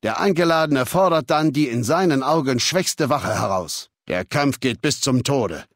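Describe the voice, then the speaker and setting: An actor playing a commanding, haughty warlord in a video game, speaking in an imperious, measured, lecturing manner. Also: male voice, medium pitch, lively intonation, no room sound, clean studio sound. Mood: stern, proud. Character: commanding, haughty male warlord ruling a legion. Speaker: video game character, faction leader. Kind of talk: scripted game dialogue in a German dub. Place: dry studio recording.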